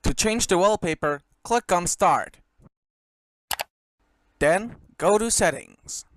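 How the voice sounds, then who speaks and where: A man's voice: high-pitched, expressive, slow, no echo, clean studio sound. A man voicing a smug, bossy operating system assistant that taunts its user in a cartoon skit. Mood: instructional. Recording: heavy noise behind the voice.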